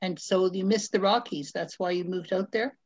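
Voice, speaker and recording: A woman's voice: on the low side, moderate pace, darkish tone. A woman on a video call asking questions in a kindly, curious way. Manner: inquisitive, kindly. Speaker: audience member. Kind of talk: casual video call chat. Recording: dull lo-fi sound.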